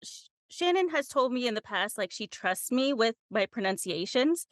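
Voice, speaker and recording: A woman's voice: fairly high pitch, expressive, moderate pace, no room sound. Young-sounding female interview guest, informal and giggly, very dry close recording. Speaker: audiobook narrator, podcast guest. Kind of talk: casual conversational interview.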